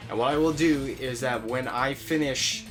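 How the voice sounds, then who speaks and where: A man's voice: medium pitch, moderate pace. A young adult man, the creator of an online series, explains his process step by step in an informal, casual update. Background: background music.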